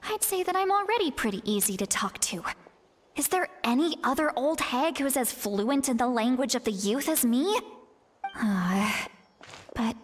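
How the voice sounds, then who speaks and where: A chatty, high-voiced youthful elder shaman. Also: a little hiss or hum. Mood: fretful. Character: kindly elderly female shaman who strives to sound youthful. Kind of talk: video game voice acting.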